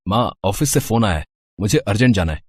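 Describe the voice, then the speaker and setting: A man's voice: on the low side, expressive, fast talker, a little mellow, slightly rough, dry recording. A man playing a tired husband and office worker in a cartoon story, easygoing and mildly complaining. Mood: resigned. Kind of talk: Hindi cartoon moral story acting.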